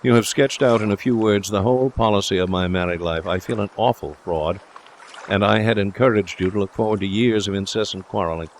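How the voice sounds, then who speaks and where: A man voicing a breezy, confident young gentleman suitor in an audiobook, speaking in a jaunty, reassuring, chatty way. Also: fairly low pitch, fairly quick, a little hiss or hum, natural voice. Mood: wry, affectionate. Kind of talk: audiobook character dialogue.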